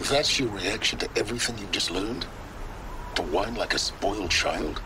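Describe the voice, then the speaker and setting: An actor playing a cold, secretive middle-aged male scholar of ancient ruins, measured and condescending. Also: lowish voice, expressive, over a noisy crowd, lightly acted, low-quality recording. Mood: disdainful. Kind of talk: video game cutscene acting.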